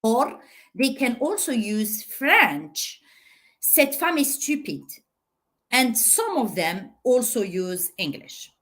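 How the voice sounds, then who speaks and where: Lively, unhurried woman presenting research. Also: fairly high pitch. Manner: lively, with many "so" and "uh" fillers. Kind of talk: conference talk.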